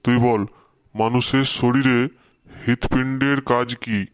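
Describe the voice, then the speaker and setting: A man's voice: mid-range voice, flat delivery, measured pace, clean tone, no room sound, lightly acted. Man playing a stern scolding schoolteacher. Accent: Bengali. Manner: scolding, threatening. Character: scolding middle-aged schoolteacher, strict and exasperated.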